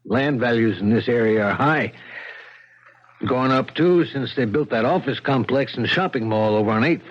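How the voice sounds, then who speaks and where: An actor playing an earnest, polite police lieutenant who questions suspects haltingly in a 1970s radio drama. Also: male voice, medium pitch, lightly acted. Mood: gently probing. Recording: dull 1970s radio broadcast.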